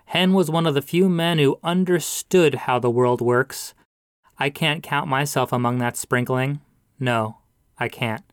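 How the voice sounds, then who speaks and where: A man voicing a pompous male pastor who talks in a sermonizing, drawn-out way. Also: medium pitch, clean tone, no room sound, studio quality, lightly acted. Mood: solemn.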